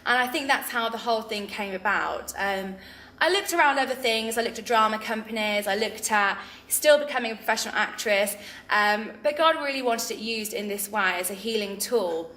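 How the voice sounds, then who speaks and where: A woman's voice: a little high, normal speed, pushed and bright. A young woman from England with a Midlands accent, earnestly reflecting in an interview with frequent ums. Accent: English Midlands. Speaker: founder of a drama ministry. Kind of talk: interview testimony. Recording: roomy echo.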